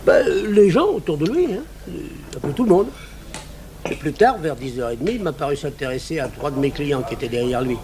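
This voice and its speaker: A man's voice: a little high, big pitch swings, a little fast, crowd noise. An actor playing an obliging, slightly evasive male café owner, talking in a colloquial, hesitant ramble in a French radio drama. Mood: chatty, obliging.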